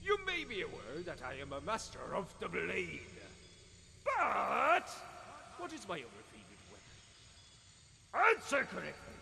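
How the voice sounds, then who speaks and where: Pompous game gatekeeper: theatrical, high-pitched, creaky, reverberant hall, music underneath. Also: male voice, wildly swooping pitch, unhurried, pauses often, bright, forceful tone, full character voice. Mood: theatrical, challenging. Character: flamboyant, haughty male riddling gatekeeper. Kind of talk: scripted fantasy game acting.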